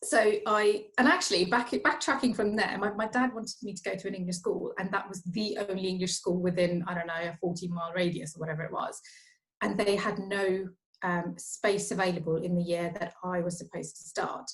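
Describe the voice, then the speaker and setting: A woman's voice: lowish voice, fairly even intonation, a little fast, low-quality recording. Chatty Norway-born woman, guest in a talk. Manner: chatty, self-interrupting with repeated words. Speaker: guest, finance professional. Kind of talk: casual interview conversation.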